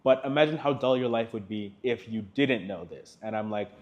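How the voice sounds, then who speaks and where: A teenage student from South Africa with an American accent, answering interview questions in a chatty way with lots of "like". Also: male voice, on the low side, brisk, lo-fi sound. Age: teens. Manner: chatty, laughs between thoughts, lots of "like". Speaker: student guest.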